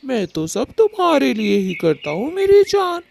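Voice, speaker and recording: A woman's voice: sits high, sing-song, moderate pace, gravelly, a little mellow, a little hiss or hum, heavily acted. A woman voicing a vain, lecherous male bird in a Hindi cartoon, swaggering and taunting as he talks. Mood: boastful. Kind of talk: Hindi cartoon moral story dialogue.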